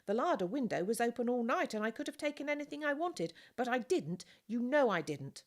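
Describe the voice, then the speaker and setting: A woman's voice: on the high side, big pitch swings, brisk, voice pushed up. A woman voicing an indignant, scrappy young boy in an audiobook of a children's novel, protesting insistently.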